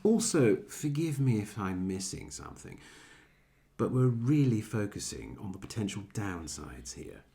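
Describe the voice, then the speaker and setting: A man's voice: on the low side, sing-song, unhurried, mellow. A man playing a smug, patronising political leader in a satirical radio play, with a glib, pompous manner. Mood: flippant. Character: smug, flippant British male political leader, breezy and patronising.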